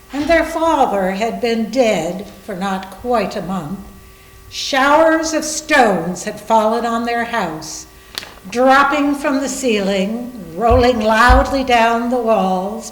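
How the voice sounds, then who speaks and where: A woman reading literary narration aloud in a measured, deliberate way, as part of a readers theater. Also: a little high. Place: indoor room, live library reading. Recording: echoey room.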